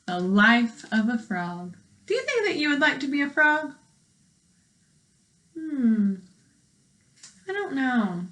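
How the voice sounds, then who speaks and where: A woman teacher with a bright, fairly high voice reads aloud for young children. She takes her time over the words and speaks in an instructive way, putting questions to her listeners. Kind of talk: read-aloud lesson with commentary and questions.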